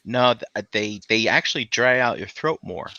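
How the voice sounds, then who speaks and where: Lowish guy with a bright tone, laid-back podcast storyteller, muffled lo-fi audio. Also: expressive, moderate pace, dry recording. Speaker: podcast co-host. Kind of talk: casual chat. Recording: dull lo-fi sound.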